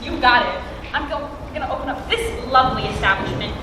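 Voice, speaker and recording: A woman's voice: a little high, brisk, far from the mic, noisy background, lightly acted. A young woman playing an outspoken, sardonic teenage girl in a school play, recorded on an echoey stage.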